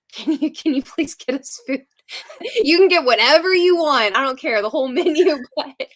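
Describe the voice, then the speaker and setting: A woman's voice: sits high, animated, moderate pace, fairly bright tone. A woman chatting casually in a giggly manner, peppering her talk with "like". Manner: giggly, frequent laughing, heavy use of "like". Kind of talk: casual chat.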